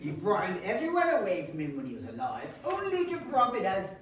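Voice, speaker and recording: A woman's voice: medium pitch, huge pitch swings, brisk. Woman acting a sly old junk dealer, very echoey. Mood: curious, amused. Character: sly old rag-and-bone dealer, greedy rascal. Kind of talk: readers theater stage acting. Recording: very echoey room, distant mic, faint noise.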